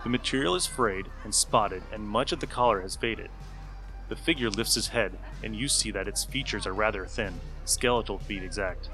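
A man's voice: lowish voice, normal speed, pushed and bright, soft background music. A man running a comic tabletop game as game master, narrating the scene for the players.

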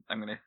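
A man's voice: a bit bright, highs cut off. A man playing a tabletop game, bantering casually in a crude, teasing way.

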